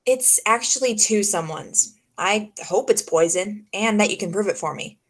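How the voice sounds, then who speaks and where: A woman's voice: medium pitch, expressive, normal speed, close to an everyday voice. A woman playing a wry, skeptical female private detective in the style of a 1940s radio drama. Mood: urgent, wry. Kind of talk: 1940s old-time radio detective acting. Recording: music behind the voice.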